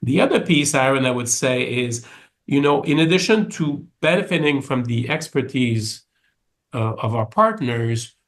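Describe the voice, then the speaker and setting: A man's voice: medium pitch, animated, normal speed. Casting: French Canadian man, mid-range voice, interview guest who restarts often as he thinks. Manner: thoughtful, many restarts and fillers. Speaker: orchestra executive, guest. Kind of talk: conversational interview answers.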